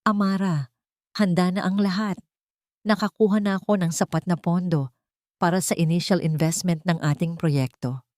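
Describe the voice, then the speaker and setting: A woman's voice: on the low side, steady tone, normal speed, darkish tone, dry recording, lightly acted. An infatuated company boss voiced by a woman narrator speaks earnestly and reassuringly. It is a storybook drama role, trusting and devoted, recorded dry. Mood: eager. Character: trusting, infatuated male company executive reassuring his lover.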